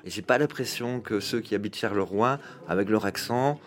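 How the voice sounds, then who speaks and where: A man with a clear Liège Belgian French accent talks casually in an interview. His voice sits fairly low. He is amused and self-deprecating, with little laughs between remarks. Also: brisk. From Liège region, Belgium. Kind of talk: casual anecdotal chat.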